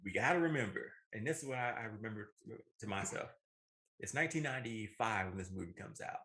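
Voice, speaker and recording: A man's voice: fairly low pitch, animated, quick pace, pushed and bright. A man in his thirties with an American accent, giving emphatic, enthusiastic opinions as he casually discusses movies as a co-host. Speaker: co-host of a movie and video game show.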